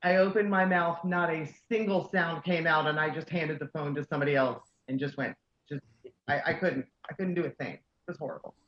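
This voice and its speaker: A woman's voice: low-pitched, brisk, muffled recording. Chatty convention organizer woman, roomy sound. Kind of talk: casual video call chat.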